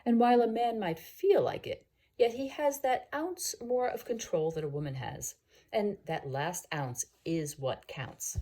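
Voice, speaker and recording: Condescending colonel for a read-aloud story, played by a woman: emphatic, husky, swinging intonation. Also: medium pitch, lively intonation, unhurried, warm tone, pitched above the performer's own voice. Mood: smug, emphatic. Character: opinionated male colonel, condescending dinner guest.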